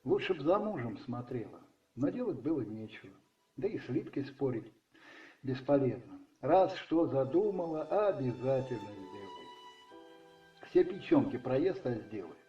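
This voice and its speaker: A male narrator tells a dramatic story for a radio play. His voice is middle-pitched and reflective, with expressive pauses and musing asides. The recording is a little muffled, with music playing beneath him.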